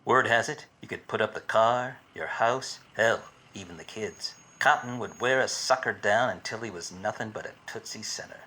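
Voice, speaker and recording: A man playing a wisecracking, obtuse private detective in a deadpan 1940s radio noir parody. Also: fairly low pitch, normal speed, few pauses, breathy edge, poor audio. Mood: wry, deadpan. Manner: deadpan, hardboiled.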